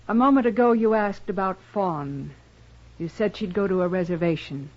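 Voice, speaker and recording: An actress playing a guarded, earnest woman back from long captivity in a 1950s radio drama, speaking formally and haltingly. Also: mid-range voice, a little mellow, natural voice. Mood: resolute. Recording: dull vintage radio recording.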